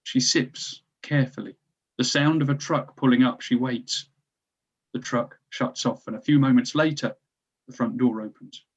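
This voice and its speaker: A man's voice: medium pitch, fairly even intonation, moderate pace, husky, highs cut off. A man reading stage directions as scripted narration for a screenplay table read.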